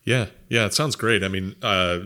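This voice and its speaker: A man's voice: fairly low pitch, moderate pace, pushed and bright. A man co-hosting a podcast in casual chat, sounding nasal and congested. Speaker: podcast co-host.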